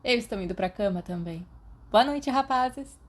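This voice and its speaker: A woman with a Brazilian Portuguese accent voicing a lively, teasing teenage girl in a podfic reading. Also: fairly high pitch, normal speed, voice pushed up.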